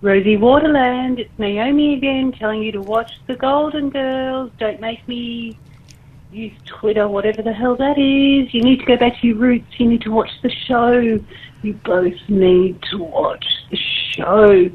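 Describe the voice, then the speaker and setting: A woman's voice: a little high, unhurried, slightly rough. Sassy woman scolding over a phone line. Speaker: caller leaving a voicemail. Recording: tinny phone line voicemail.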